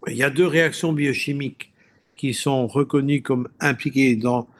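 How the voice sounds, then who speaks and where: Man, mid-range voice, radio guest expert, didactic scientific explanation, conversational, digressive, halting word searches, frequent check-ins. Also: mid-range voice, fairly even intonation, a little hiss or hum.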